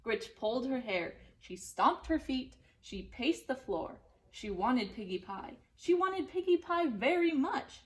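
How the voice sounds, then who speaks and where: Female storybook reader, unhurried and very expressive, with a fairly high voice. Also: rough recording. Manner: deliberate, dramatic storytelling. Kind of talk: expressive children's story narration.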